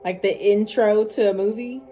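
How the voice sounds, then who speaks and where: A woman's voice: medium pitch, steady tone, moderate pace, dark tone. A woman giving a casual, slangy music reaction, repeating phrases for emphasis. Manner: slangy, informal, emphatic repetition. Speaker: reaction video host.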